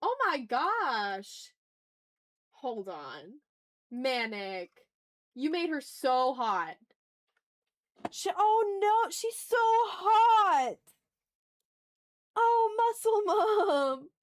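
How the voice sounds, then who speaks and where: A woman chatting casually as she hosts an art livestream, talking to her viewers in an animated, slangy, teasing way with some swearing. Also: high-pitched, drawn-out words, long pauses. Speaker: livestream host and artist. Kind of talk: casual art livestream chat, reading and answering chat messages.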